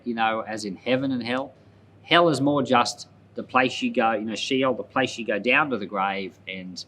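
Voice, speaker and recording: A man's voice: medium pitch, fairly bright tone, slight background noise. A man speaking as a pastor in conversational Bible commentary, explaining things and often repeating and rephrasing points for emphasis. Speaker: pastor and Bible podcast host.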